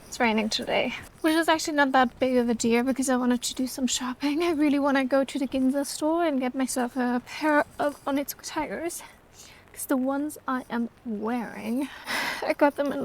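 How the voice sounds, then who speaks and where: A woman's voice: sits high, a little hiss or hum, poor audio. A German woman in her twenties, chatting casually and rambling with many fillers while filming a travel vlog on her phone. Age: 20s. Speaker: vlogger. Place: city streets and small eateries. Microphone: phone camera.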